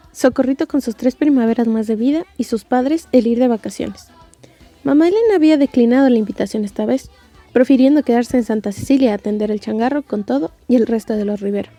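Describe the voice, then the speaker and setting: Mellow Mexican woman narrating, fairly high voice. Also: no echo. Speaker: audiobook narrator. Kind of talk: wry scripted story narration.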